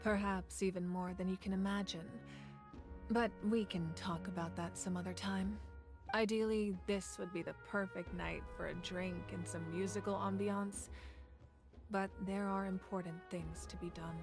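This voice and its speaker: A woman playing a calm, commanding ruler of a fire nation who speaks in a composed, measured, earnest way. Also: mid-range voice, lightly acted. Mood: steady. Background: game music.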